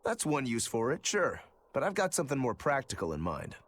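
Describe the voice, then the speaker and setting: A man's voice: medium pitch, wildly swooping pitch, a little fast, a bit bright, slight background noise. An actor playing an easygoing, teasing male demon swordsman who likes his drink, in a video game. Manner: wry, teasing, laid-back. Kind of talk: English video game voice acting.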